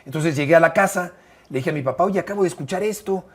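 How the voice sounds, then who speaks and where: A Mexican man in his fifties with a Mexican accent, a sports commentator telling stories in an interview, expressive and emphatic with frequent fillers. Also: on the high side, quick pace. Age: 50s. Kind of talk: conversational interview storytelling.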